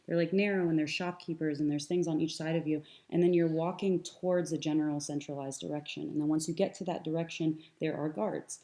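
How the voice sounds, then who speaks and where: A woman's voice: low-pitched, fairly even intonation, brisk, hardly any pauses, mellow. A young woman from the United States, speaking as a guest in an earnest, thoughtful panel conversation, with frequent fillers and restarts.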